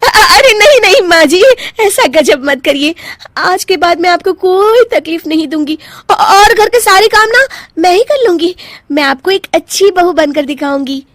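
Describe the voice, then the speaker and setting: A woman's voice: very high voice, lively intonation, moderate pace, a bit bright, no room sound. A woman voicing a spoiled, selfish young daughter-in-law in a cartoon, whiny and dramatic. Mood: panicked, pleading.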